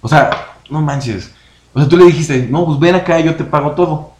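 A man's voice: fairly high pitch, normal speed. Young Mexican man telling a story, echoey. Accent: Mexican Spanish. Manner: relaxed storyteller, imitates others in quotes. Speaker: podcast co-host. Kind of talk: casual storytelling chat. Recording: echoey room, faint noise.

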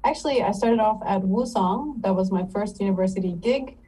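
A woman's voice: medium pitch, a little fast, breathy edge, far from the mic, rough recording. A woman from Ukraine, an English teacher and photographer, talking casually as a podcast guest with an easygoing manner. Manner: relaxed, laughs easily, many fillers. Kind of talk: casual interview talk.

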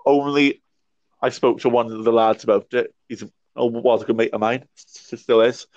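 A young man in his twenties speaks as a podcast guest, thinking aloud with a stammer and frequent restarts. His voice sits at an ordinary pitch. The audio is dry and a little muffled, like a low-fidelity home recording. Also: fairly even intonation, normal speed, darkish tone, no room sound. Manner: reflective, talks with a stammer and restarts. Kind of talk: casual conversation.

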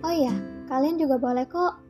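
A woman's voice: sits high, normal speed, over loud music. A woman hosting a solo podcast in a casual, slangy, playful chat. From Indonesia. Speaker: podcast host.

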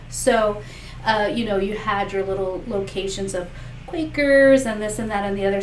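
Woman candidate, Spanish native speaker, earnest, mic at a distance with faint background noise. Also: mid-range voice. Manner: earnest, talks in restarts with many fillers. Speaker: political candidate. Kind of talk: interview answers. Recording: echoey room, mic far off, faint noise.

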